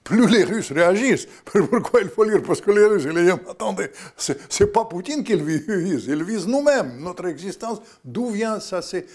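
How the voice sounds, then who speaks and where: A man's voice: high voice, expressive, normal speed, few pauses, a little mellow. An older man with Russian-Ukrainian roots, a guest author speaking in an interview, rambling with many restarts and fillers.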